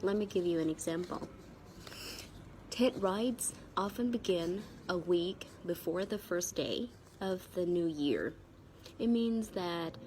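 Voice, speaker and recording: A Vietnamese-accented woman with a cold and sore throat gives a spoken English vocabulary lesson as a teacher, haltingly and repeating key words. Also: fairly low pitch, creaky, slight background noise.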